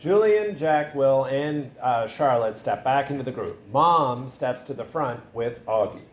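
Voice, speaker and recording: A man's voice: medium pitch, animated, unhurried. Man narrating in an echoey room. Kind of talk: reading stage directions in readers theater. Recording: echoey room with faint background noise.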